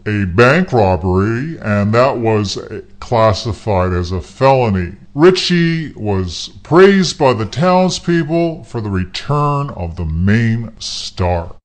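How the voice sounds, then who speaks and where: A man's voice: fairly low pitch, big pitch swings, slow. A man narrating a scripted radio play as its storyteller. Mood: storytelling. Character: storytelling narrator of a crime comedy.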